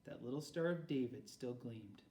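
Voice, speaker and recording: A man reads a story aloud as narrator, with an expressive delivery. His voice is mellow and medium in pitch. It is heard a little away from the microphone in an echoey room. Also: normal speed. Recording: roomy echo, far from mic.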